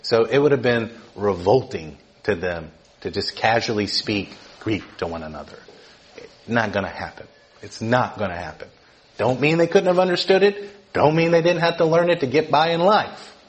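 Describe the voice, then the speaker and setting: A middle-aged man from Georgia gives a teaching sermon. His delivery is conversational. He keeps tagging his points with quick questions to his listeners. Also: mid-range voice, animated. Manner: conversational, keeps asking "right?" to the room. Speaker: preacher.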